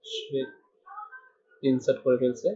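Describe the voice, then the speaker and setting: A man's voice: mid-range voice, flat delivery, slow, mellow. Man talking through code, lo-fi sound. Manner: thinks aloud while typing, mixes English terms. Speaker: programming tutor. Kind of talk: screen-recorded coding tutorial. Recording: dull lo-fi sound.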